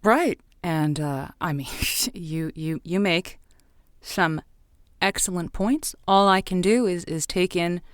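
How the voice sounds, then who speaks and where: A woman plays a waffling radio advice host who hedges, stammers and restarts, eager to please every caller. Her voice sits a touch low, recorded close and dry. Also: slow pace, no room sound. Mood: flustered. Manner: hesitant, stumbling and restarting. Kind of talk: improvised audio drama.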